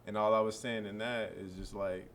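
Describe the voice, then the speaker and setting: A man in his early twenties, a college football player, answering press questions in a relaxed, rambling way full of fillers. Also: on the low side, moderate pace, slight background noise. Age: early 20s.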